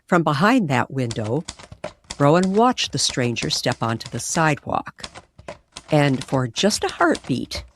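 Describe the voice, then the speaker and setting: A woman narrating an eerie, nostalgic story as a storyteller, in a measured and dramatic manner. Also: deep voice, a little hiss or hum. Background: music under the voice.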